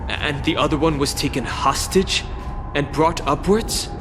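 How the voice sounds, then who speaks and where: High-pitched, urgent young male guard, acted by a man. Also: unhurried, background noise, natural voice. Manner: urgent, tense. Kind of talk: dramatic video game dialogue. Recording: loud music behind the voice.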